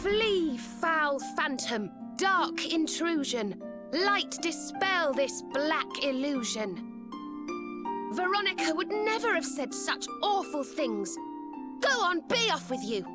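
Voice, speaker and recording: A woman's voice: high-pitched, sing-song, takes it slowly, over loud music, exaggerated voice. A woman voicing an earnest, resolute young heroine in a video game, with a solemn, declamatory delivery. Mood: firm defiance. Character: gentle, resolute young woman banishing a phantom.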